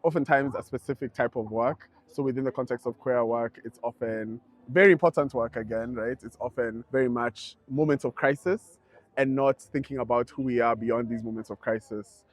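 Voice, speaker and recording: A young man, speaking in a thoughtful, measured and earnest way as he reflects in an interview. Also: mid-range voice, steady tone. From Kenya. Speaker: founder of an advocacy network.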